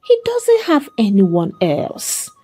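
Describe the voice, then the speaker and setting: A woman's voice: fairly high pitch, wildly swooping pitch, slow pace, dark tone, slightly rough, soft background music, voice pushed up, full character voice. A woman voicing a distraught, guilt-ridden young wife in a dramatic story, tearful, trembling and pleading. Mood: anxious, hesitant.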